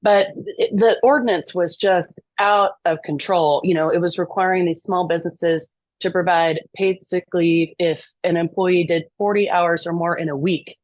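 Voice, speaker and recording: A woman's voice: lowish voice, fairly even intonation, normal speed, dry recording, dull audio. Chatty middle-aged Texan woman. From East Texas. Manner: chatty, self-deprecating, lots of ums. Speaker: lobbyist guest. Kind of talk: podcast interview.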